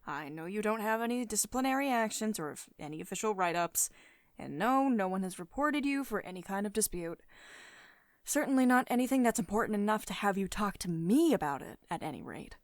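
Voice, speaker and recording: A woman playing a poised, teasing, mildly dominant company boss in an intimate ASMR roleplay, speaking in a measured, authoritative and condescendingly affectionate manner. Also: medium pitch, expressive, dry recording, studio quality, close to an everyday voice. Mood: firm, patient. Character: poised, teasing, mildly dominant female CEO of tech firm. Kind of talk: ASMR roleplay, intimate one-sided dialogue. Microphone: close studio-style microphone, dry voice-over.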